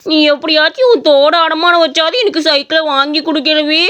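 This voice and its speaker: Woman as a high-voiced, wheedling village schoolboy. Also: fairly quick, a bit bright. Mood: pleading. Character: wistful, pleading poor village schoolboy. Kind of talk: comic village skit acting.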